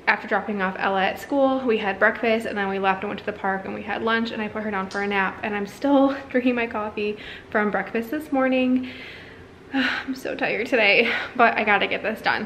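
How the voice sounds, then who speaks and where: Female home vlogger, 30s, casual and chatty, mid-pitched, slight room noise behind. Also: a bit bright. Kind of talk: casual chatty vlog talk. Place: indoors in a house living area.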